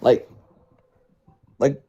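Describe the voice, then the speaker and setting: A man's voice: very slow, warm tone, dull audio. A young man calling into a political show, hesitant and stumbling over his words with casual slang. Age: young adult. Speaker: caller.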